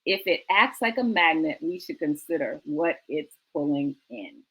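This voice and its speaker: A woman with a bright, forward voice hosts a book discussion. She talks with enthusiasm and urges the listener on, hammering points home by repeating key phrases. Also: low voice, animated, normal speed, husky, poor audio. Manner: emphatic, exhortative, repeating phrases for effect. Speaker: host of a book talk show.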